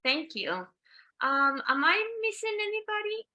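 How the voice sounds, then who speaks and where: Bright-voiced woman chairing a meeting. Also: very high voice, sing-song, unhurried. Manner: brief, polite calling on speakers. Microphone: video call.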